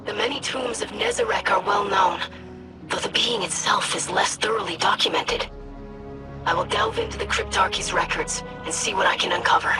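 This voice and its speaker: Casting: actress for young female alien scribe, idealistic and dutiful, formal articulate delivery, mid-pitched, music behind. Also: natural voice. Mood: resolute. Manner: formal, measured, articulate diction. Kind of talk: dramatic video game cutscene acting.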